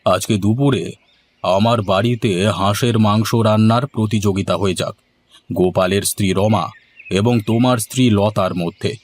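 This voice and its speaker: A man voicing a commanding village headman in a moral-story cartoon, speaking deliberately and with authority. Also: lowish voice, steady tone, lightly acted. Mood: pondering, pronouncing. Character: authoritative village headman who loves good food.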